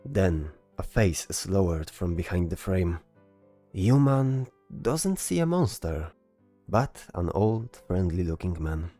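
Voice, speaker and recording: A man's voice: low voice, very animated, slow, warm tone. A man reading scripted narration for a fantasy story as its narrator. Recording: music behind the voice. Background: music.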